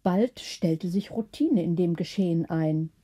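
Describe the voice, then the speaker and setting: Female audiobook narrator for bedtime stories, very mellow and soft-edged, slightly husky, unhurried. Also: on the low side. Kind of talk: scripted bedtime story narration.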